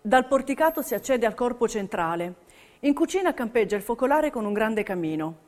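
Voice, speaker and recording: A woman's voice: a little high, very animated, fairly quick. A woman giving scripted, theatrical documentary narration for a stage piece. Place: radio broadcast of a theatre piece.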